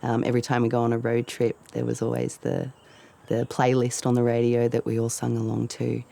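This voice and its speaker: A middle-aged woman, a band leader, reflecting in a documentary interview in a relaxed, storytelling way. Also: extremely low pitch, fairly quick, creaky. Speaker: band leader, singer and guitarist.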